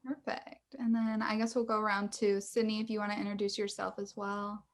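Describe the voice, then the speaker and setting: A woman's voice: medium pitch, little pitch movement, a little fast. A woman hosting an interview.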